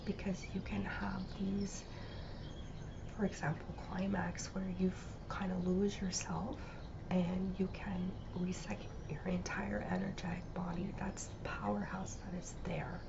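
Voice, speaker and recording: Muffled, creaky-voiced woman teaching informally. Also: mid-range voice. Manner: rambling, searching for words. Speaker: reiki master leading a spiritual healing session. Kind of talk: informal spiritual teaching, unscripted. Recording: muffled, noisy lo-fi recording.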